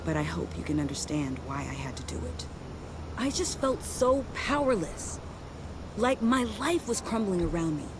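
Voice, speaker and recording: A woman's voice: fairly low pitch, sing-song, normal speed, background noise. A woman playing a young, guilt-ridden yet defiant former ally in a video game, earnest, confiding and emotional. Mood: anguished, pleading. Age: young adult. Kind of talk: video game dramatic acting.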